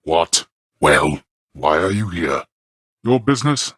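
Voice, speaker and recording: An actor voicing a stern, contemptuous god of the dead in a video game, a haughty father with formal archaic speech and a gravelly voice. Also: low-pitched, sing-song, slow, fairly bright tone, no echo, studio quality, heavily acted. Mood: curt impatience. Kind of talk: video game character voice acting.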